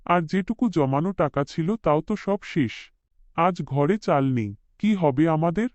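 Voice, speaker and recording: A man's voice: a little high, normal speed, darkish tone, no echo, close to an everyday voice. A man voicing a desperate poor working father in a cartoon, deferential and sorrowful, in a dull recording. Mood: weary, sorrowful. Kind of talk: cartoon voice acting.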